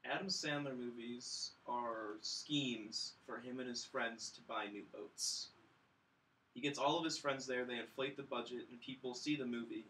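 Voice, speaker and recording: A man with a forceful, bright voice gives blunt, sardonic opinions in casual panel chat. The sound is roomy and a little distant from the mic, with faint noise. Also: mid-range voice. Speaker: film review podcast panelist.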